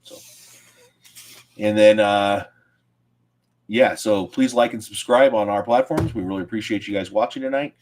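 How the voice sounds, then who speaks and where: Male co-host, low voice, plain-spoken and argumentative. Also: normal speed, long pauses, darkish tone, slight background noise. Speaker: podcast co-host. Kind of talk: casual chat.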